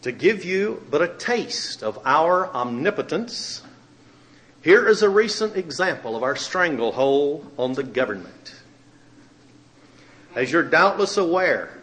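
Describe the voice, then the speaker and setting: A man's voice: fairly high pitch, fairly bright tone. A man, a magazine editor and radio minister, giving an emphatic dramatic reading of a letter.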